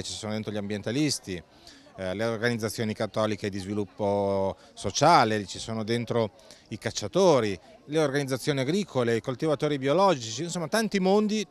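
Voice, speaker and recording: Earnest middle-aged man explaining a campaign. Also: medium pitch. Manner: earnest, explanatory, with hesitant fillers. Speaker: campaign coordinator. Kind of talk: on-camera interview statement. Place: indoor stand at a busy food fair. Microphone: handheld mic close below the face.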